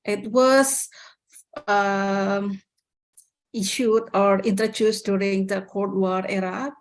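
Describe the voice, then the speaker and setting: A woman with an Indonesian accent answering as a lecturer on a webinar panel, speaking hesitantly with frequent pauses over a video call. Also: medium pitch.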